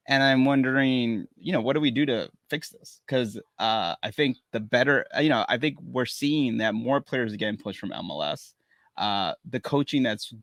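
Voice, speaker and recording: A man's voice: mid-range voice, creaky, a bit bright, dry recording. A man co-hosting a casual soccer podcast from a small studio, sharing opinions he keeps hedging with "in my opinion". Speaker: podcast co-host. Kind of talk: casual sports chat.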